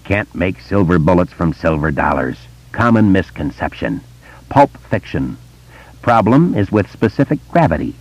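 A man voicing a gruff, dismissive old gentleman who talks in abrupt, clipped phrases in an audiobook. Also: low voice, sing-song, normal speed, no echo, lo-fi sound, full character voice.

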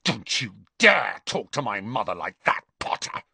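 A man's voice: fairly low pitch, wildly swooping pitch, a little slow, a bit bright, no echo, heavily acted. Spoiled arrogant teen boy, read by a man, drawling sneer. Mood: snarling.

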